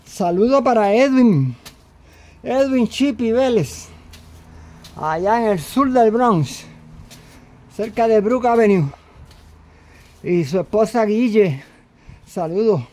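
A man's voice: high voice, darkish tone. Rambling Puerto Rican man outdoors. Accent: Puerto Rican Spanish. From Puerto Rico. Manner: rambling, halting, with many fillers and restarts. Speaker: vlogger. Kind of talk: casual walking vlog. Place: outdoors, walking.

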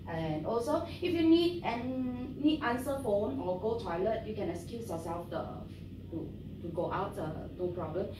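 Brief: woman trainer, friendly and halting, heard from across a noisy room. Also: medium pitch. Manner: friendly, halting with many fillers. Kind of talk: classroom training talk. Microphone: far camera. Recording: roomy, distant, noisy.

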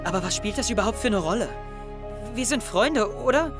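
Anxious, intense teenage schoolboy. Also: male voice, high voice, expressive, fairly quick, music in the background. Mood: accusing, hurt. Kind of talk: German audio drama acting, confessional narration and dialogue.

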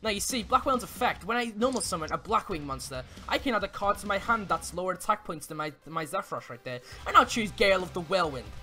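A young man with an excitable, giggly way of talking and stumbling over words, playing a card game in a casual roleplay. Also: sits high, fast talker, few pauses. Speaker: player in a card duel roleplay. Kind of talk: casual in-character gameplay commentary.

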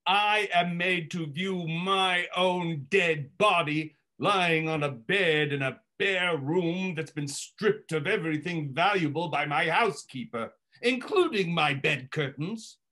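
Man acting a grumbling old miser, high sharp voice, slow indignant delivery, sardonic stage drama. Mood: aggrieved. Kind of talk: theatrical in-character retelling.

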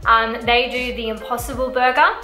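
A woman's voice: fairly high pitch, steady tone, moderate pace, pushed and bright, reverberant, soft background music. A young woman co-hosting a casual vlog, chatting with frequent "like" fillers. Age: young adult. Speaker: vlog co-host. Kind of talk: casual chat. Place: bright indoor room, seated.

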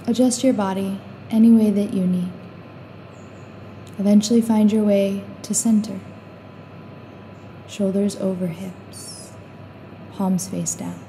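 A woman guiding a meditation in a calm, soothing, unhurried way, leaving long pauses between short instructions. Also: medium pitch, a little hiss or hum. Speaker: meditation guide.

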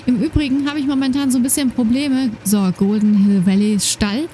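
A woman's voice: fairly high pitch, fairly even intonation, a little fast, a little hiss or hum. A woman with a slight lisp, chatting casually while playing a horse game, with many fillers, on a desk microphone. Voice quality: slight lisp, soft trailing S sounds. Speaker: gaming YouTuber. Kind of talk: casual let's-play commentary. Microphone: desk microphone with noise gate. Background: game music and horse hoofbeats.

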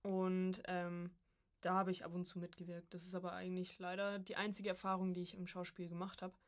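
A woman's voice: lowish voice, completely flat delivery, brisk. A woman in her early twenties from Germany, talking informally as a podcast guest about herself. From Coburg, Germany. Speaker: podcast guest, voice acting student. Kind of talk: casual chat, personal anecdotes.

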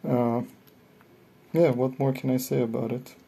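A man giving a casual, unscripted hobbyist review, thinking aloud in a halting way. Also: medium pitch, fairly even intonation, vocal fry, warm tone, a little hiss or hum. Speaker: hobbyist reviewer of cassette tapes.

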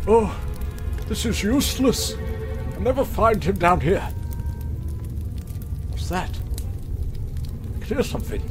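Man playing a pompous, high-voiced hapless knight. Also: heavily acted. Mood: searching, melodramatic. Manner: pompous, melodramatic, talking to himself. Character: pompous, hapless male knight, self-important and easily dejected. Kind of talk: comic fantasy audio drama acting.

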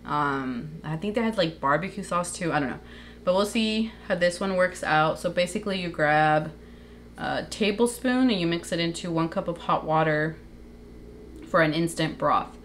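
A woman's voice: on the low side, normal speed, a little hiss or hum. A young woman chatting casually in her home kitchen, showing groceries to the camera with lots of likes and ums. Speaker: vlogger. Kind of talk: casual grocery haul show-and-tell.

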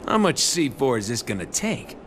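An actor playing a cocky, foul-mouthed antihero mercenary in a video game, brash and sarcastic. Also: male voice, medium pitch, sing-song, normal speed, a bit bright, a little hiss or hum. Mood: impatient. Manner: brash, sarcastic, exaggerated swooping delivery. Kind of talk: video game cutscene acting. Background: music.

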